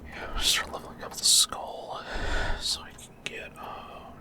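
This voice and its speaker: A man speaks entirely in a soft, breathy whisper, as a gaming creator making ASMR. His delivery is casual and rambling, with a hesitant flow as he comments on his play. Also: normal speed.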